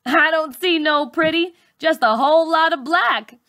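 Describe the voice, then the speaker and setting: Woman acting out a schoolboy bully, thirteen, high pitched, bright and sharp, bratty, sing-song jeering, storybook character. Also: a little slow, exaggerated voice. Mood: scornful.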